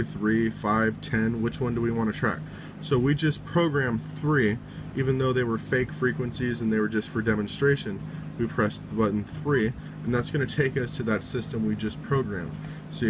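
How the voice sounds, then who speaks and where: A man's voice: medium pitch, background noise. A man giving a casual, step-by-step how-to in an informal way, often reiterating each step. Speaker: tutorial presenter.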